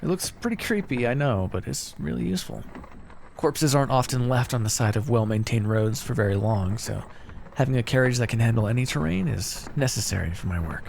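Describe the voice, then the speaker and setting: A man's voice: medium pitch, lively intonation, fairly quick, creaky, a little mellow, in a large hall, a little hiss or hum. A man playing a pragmatic, chatty necromancer in a casual, wry ASMR fantasy roleplay. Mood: easygoing, confident. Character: pragmatic, chatty male necromancer, matter-of-fact and ambitious. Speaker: roleplay voice actor. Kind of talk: ASMR fantasy roleplay, one-sided dialogue.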